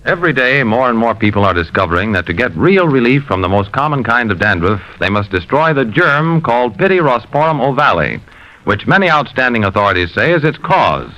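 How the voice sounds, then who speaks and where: An American male announcer delivers a vintage 1950s radio advertisement. His voice is bright and forceful, with lively, persuasive emphasis and formal phrasing. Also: mid-range voice, brisk, low-quality recording. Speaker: commercial announcer.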